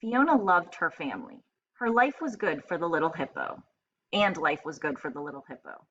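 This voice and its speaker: Low-pitched woman teacher reading aloud. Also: expressive, moderate pace, a bit bright, slightly rough. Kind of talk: read-aloud for children with explanations. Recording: slightly muffled, faint background noise.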